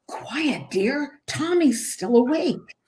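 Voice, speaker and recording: An actress playing a gently disapproving wife and mother in a 1940s-style radio play, softly chiding. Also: high voice, big pitch swings, slow, full character voice.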